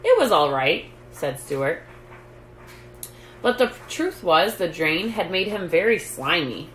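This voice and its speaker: A woman hosting story time, reading a children's book aloud in an expressive, deliberate, emphatic way. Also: sits low, creaky. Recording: roomy echo, background noise.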